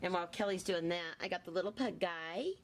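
A woman's voice: fairly low pitch, a little fast, a little hiss or hum. A woman co-hosting a toy unboxing, mostly giving short agreeing replies with hesitant fillers. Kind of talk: casual chat. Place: indoor tabletop filming setup.

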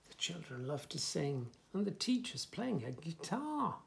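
A man in his fifties reading a picture book aloud to young children, with expressive, sing-song delivery and soft asides. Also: fairly high pitch, unhurried, creaky. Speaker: children's story reader, host. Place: small furnished room indoors, bookshelves.

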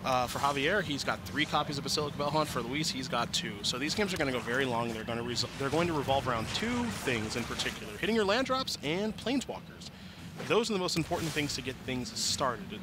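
A man's voice: medium pitch, lively intonation, fast talker, background noise. A man giving methodical, explanatory match commentary into a headset as an esports analyst. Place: broadcast desk in an event arena. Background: music.

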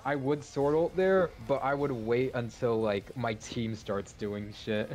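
A man's voice: medium pitch, measured pace, a little mellow, faint music behind. A young man bantering casually and jokingly while commenting on a game, using plenty of slang. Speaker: esports scrim commentator.

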